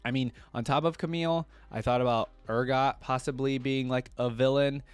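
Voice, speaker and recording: A man's voice: mid-range voice, fairly even intonation, moderate pace, clear, resonant tone, dry recording. A man hosting a reaction video, giving informal, enthusiastic fan commentary in a casual chatty style. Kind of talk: casual fan commentary alternating with story read-aloud.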